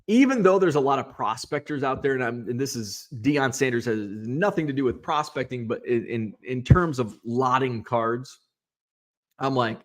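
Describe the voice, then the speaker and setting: Rambling man in casual chat. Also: mid-range voice. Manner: rambling, restarts, thinking aloud. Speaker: sports card seller and show host. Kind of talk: casual solo talk.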